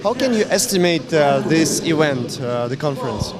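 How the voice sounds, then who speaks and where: A man's voice: fairly high pitch, expressive, moderate pace. A man working as an interviewer, asking brief questions. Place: crowded conference venue. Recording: crowd chatter at a busy event. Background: crowd chatter.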